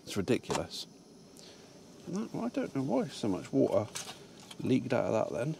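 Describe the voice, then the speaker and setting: Man, relaxed rambling vlog talk, mid-pitched voice, faint hum behind. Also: normal speed, creaky. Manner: rambling, relaxed, with many fillers and restarts. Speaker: truck driver vlogging his day. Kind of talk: casual vlog chat. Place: truck cab parked in a lay-by.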